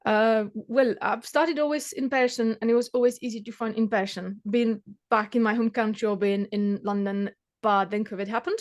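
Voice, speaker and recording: A woman's voice: fairly high pitch, steady tone, normal speed. A woman in her thirties from the Czech Republic, whose first language is Czech, talking casually about her tutoring work with many fillers and restarts. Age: 30s. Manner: chatty, many fillers and restarts, laughs often. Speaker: maths tutor. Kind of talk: casual interview chat.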